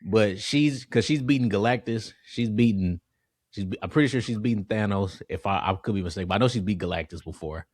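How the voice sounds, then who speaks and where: A man's voice: on the low side, brisk, darkish tone, no echo, studio quality. A man co-hosting a podcast, chatting casually about pop culture and explaining things in informal slang. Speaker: podcast co-host.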